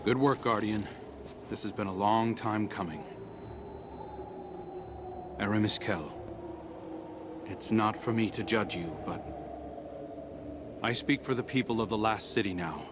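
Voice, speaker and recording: Man playing a low, solemn, duty-bound leader. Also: a little slow, long pauses, noisy background, close to an everyday voice. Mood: solemn, subdued. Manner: solemn, earnest. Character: grave, duty-bound male leader of a vanguard. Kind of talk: video game cutscene narration and dialogue. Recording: music behind.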